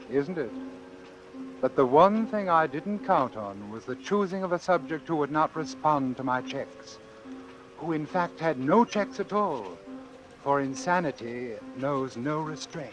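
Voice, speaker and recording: A man's voice: on the high side, animated, rough recording. Anxious, doomed man in vintage radio suspense. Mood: resigned. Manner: hesitant, halting, measured. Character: anxious doomed man who arranged his own killing. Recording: vintage radio recording with music and rain. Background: music and rain.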